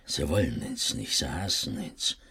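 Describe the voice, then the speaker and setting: A man's voice: rumbling low, sing-song, a little slow, sharp tone, husky, heavily acted. An actor playing a protective, wary butcher father in a radio play, speaking insistently in Yiddish-influenced German. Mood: anxious warning. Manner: insistent, inverted word order.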